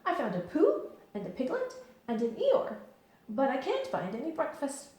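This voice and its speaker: A woman voicing an excitable, bouncy young male tiger with loud, high bursts, in a very echoey room. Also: moderate pace. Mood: excited.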